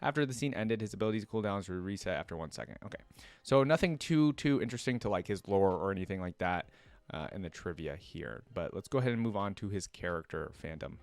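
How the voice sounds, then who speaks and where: A man with a fairly low voice hosts a casual reaction commentary. He chats informally and reads bits aloud. The sound is dry, with very little room in it. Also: lively intonation, fast talker, no room sound. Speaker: reactor and game fan channel host.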